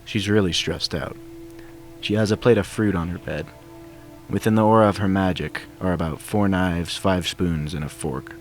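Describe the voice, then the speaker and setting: A man reading a scripted fan fiction story as its narrator. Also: low-pitched. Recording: soundtrack music behind the voice. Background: game soundtrack music.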